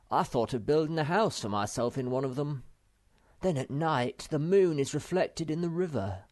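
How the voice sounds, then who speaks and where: A woman's voice: sits low, a little mellow, dry recording, studio quality, voice pushed up. A woman voicing an earnest young male troll creature who describes things in a dreamy, enthusiastic way.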